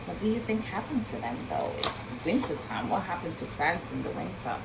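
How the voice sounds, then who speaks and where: Female storyteller for a kids' read-aloud: lowish pitch, very animated intonation, marvelling asides, noisy recording. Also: brisk, creaky. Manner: asks questions and marvels at pictures, speaking to children. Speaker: storyteller reading a picture book aloud. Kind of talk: expressive children's read-aloud with asides to young listeners.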